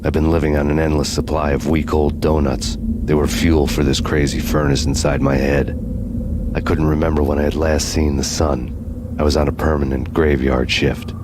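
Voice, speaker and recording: An American man playing a brooding, world-weary noir avenger, narrating deadpan in a hardboiled game. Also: rumbling low, normal speed, noisy background. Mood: brooding, bleak.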